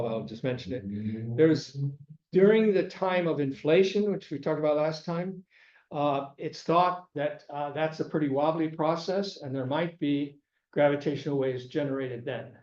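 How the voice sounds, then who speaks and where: An older male lecturer speaks in a slightly high voice, stopping and repeating himself and clearing his throat as his voice gives out. The sound is muffled and lo-fi, carrying the echo of a lecture room. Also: moderate pace, a little mellow. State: losing his voice. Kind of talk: classroom lecture. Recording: echoey room, dull lo-fi sound.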